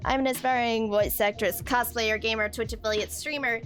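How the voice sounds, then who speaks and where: A woman's voice: fairly high pitch, steady tone, moderate pace, a bit bright. A young woman chatting casually as she hosts a video game livestream. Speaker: game streamer. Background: game music.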